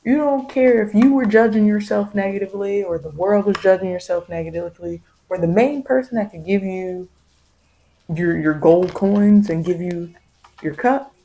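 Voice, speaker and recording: A woman giving a soft ASMR tarot reading, speaking in a halting, repetitive, reassuring way as she offers spiritual guidance. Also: mid-range voice, low-quality recording. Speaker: tarot reader.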